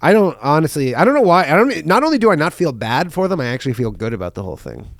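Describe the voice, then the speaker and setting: A man co-hosting a comedy podcast, sick, with a raspy voice that keeps cracking, riffing in animated, crude banter. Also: a little high, quick pace, no room sound, studio quality. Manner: animated, slips into bits and mock voices. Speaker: podcast co-host. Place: podcast studio.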